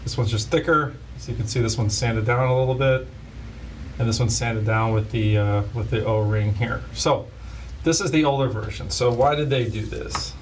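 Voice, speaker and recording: A man's voice: mid-range voice, normal speed. A man hosting a casual product demo, explaining plainly with many fillers. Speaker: host of a scanner shop's product videos. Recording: voice a bit far from the mic, faint hiss.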